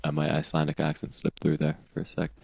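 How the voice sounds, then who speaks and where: A man with a very low voice chats casually as a podcast co-host, playful and quick to laugh. His sound is dry and close, with almost no room around it. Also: monotone, gravelly, a little mellow, dry recording. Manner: playful, laughs often, quotes sayings. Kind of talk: casual chat. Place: home studio on video call. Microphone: video call setup.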